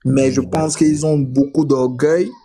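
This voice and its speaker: Cameroonian man, mellow low-toned voice, casual live-stream debater who stumbles on sentence starts. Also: fairly even intonation, unhurried. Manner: repeats words when starting sentences. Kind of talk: casual online debate chat.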